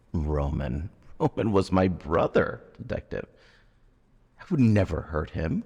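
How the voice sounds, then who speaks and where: A menacing, haunted war veteran in an audio drama. The voice is extremely low and gravelly, moving slowly and haltingly with theatrical brooding, in long cavernous reverb. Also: male voice, very animated, a little mellow, lo-fi sound, exaggerated voice. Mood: coldly controlled. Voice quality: gravelly, raspy. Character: menacing, traumatized male war veteran turned killer suspect.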